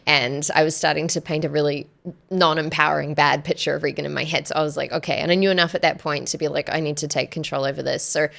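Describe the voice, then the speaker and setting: A woman's voice: deep voice, little pitch movement, quick pace, vocal fry, a bit bright. A woman in her thirties telling personal stories casually as a podcast guest, often saying "like" and quoting conversations, close on the mic. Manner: conversational, frequent "like" and quoted dialogue. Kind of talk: casual personal storytelling in an interview. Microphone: close podcast microphone on arm.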